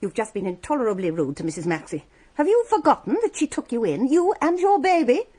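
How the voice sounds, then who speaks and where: An actress playing a prim, self-righteous English matron in a radio drama, stern and moralising. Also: female voice, sits high, big pitch swings, a little fast, mellow. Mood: righteous outrage. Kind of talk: British radio drama acting.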